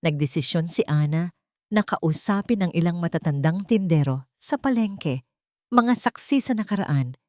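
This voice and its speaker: A woman's voice: fairly low pitch, animated, normal speed, darkish tone, no echo. A woman reading a scripted, dramatic romantic story as a narrator.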